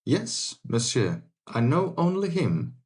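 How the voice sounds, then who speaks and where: An actor playing a brave, respectful young adventurer, earnest and formal, in a dramatic audiobook. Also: male voice, fairly high pitch, sing-song, slow, a little mellow. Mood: earnest, polite.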